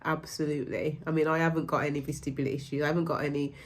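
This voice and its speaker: A woman's voice: low-pitched, level tone, racing. Congested woman with a stuffy nose. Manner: gentle, reassuring, rambling with frequent ums. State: congested from a cold. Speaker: mind-body coach. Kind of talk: casual talk to camera.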